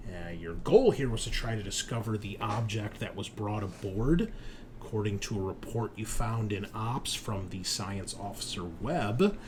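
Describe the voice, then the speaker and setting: Male game master, lowish voice, conversational improvised storytelling for a tabletop session, noticeable background noise. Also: unhurried. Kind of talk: recapping and narrating a tabletop roleplaying session.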